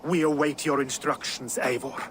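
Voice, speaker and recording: An actor playing an earnest, determined rebel war captain in a dramatic game cutscene. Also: male voice, medium pitch, fairly even intonation, normal speed, fairly bright tone, a little hiss or hum, lightly acted.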